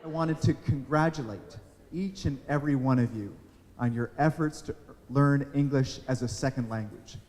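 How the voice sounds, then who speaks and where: A man's voice: medium pitch, moderate pace, darkish tone, big-room sound. A man giving a formal, earnest address to a group as a public official.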